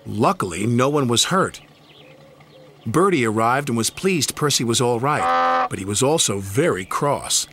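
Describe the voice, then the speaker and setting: A man's voice: medium pitch, lively intonation, unhurried, a bit bright. A man reading a scripted children's story as its narrator. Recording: music behind the reading.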